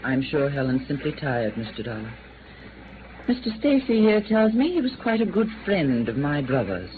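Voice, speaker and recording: A woman's voice: low voice, very animated, moderate pace. A genteel, reserved young lady in an old radio play. She is formal and composed, yet her intonation moves widely. The sound is thin and noisy. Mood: polite, cool. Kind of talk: 1950s radio drama acting. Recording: old noisy, narrow-band radio recording.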